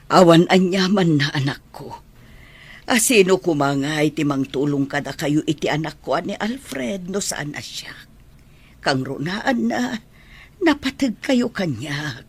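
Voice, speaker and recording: A woman with an Ilocano accent playing a tender, consoling older mother in a radio drama, with a husky voice. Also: low voice, expressive, unhurried, exaggerated voice.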